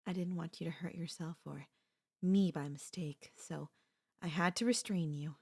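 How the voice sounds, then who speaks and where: A young woman playing an obsessive, possessive kidnapper and childhood friend in an ASMR audio roleplay, speaking in a soft, intimate, pleading manner. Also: lowish voice, steady tone, normal speed, gravelly, breathy edge. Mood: soothing, possessive.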